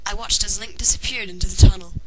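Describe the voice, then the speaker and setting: A woman's voice: medium pitch, fairly even intonation, fast talker, crowd noise. A woman reading a fan fiction story aloud as narrator, in a dramatized way. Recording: noisy amateur home recording.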